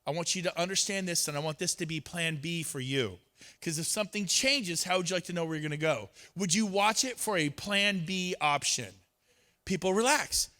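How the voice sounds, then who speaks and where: A man's voice: fairly high pitch, normal speed, bright, forceful tone. A man giving a motivational talk on stage, acting out both sides of conversations with others. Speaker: real estate speaker. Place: event stage.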